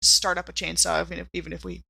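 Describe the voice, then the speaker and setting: Female tabletop player, bright forward voice, playful casual improv, dry close-miked sound. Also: on the low side, little pitch movement, fast talker, creaky, breathy edge, no room sound. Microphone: close studio microphone.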